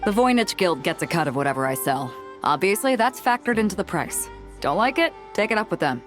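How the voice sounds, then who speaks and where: A woman's voice: lowish voice, big pitch swings, a little fast, soft background music. An actress playing a breezy, confident seafaring woman selling weapons in a video game, frank and easygoing. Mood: firm, guarded. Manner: easygoing, frank, self-assured.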